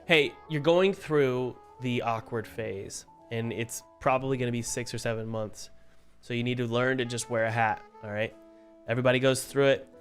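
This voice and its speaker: A young man chats casually to viewers on a live stream, his voice a bit hoarse from a cold. He rambles with fillers and restarts at a mid pitch, and faint music plays underneath. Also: steady tone, normal speed. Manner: chatty, loose, many fillers and restarts. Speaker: indie game developer and live-stream host. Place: home studio. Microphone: studio mic.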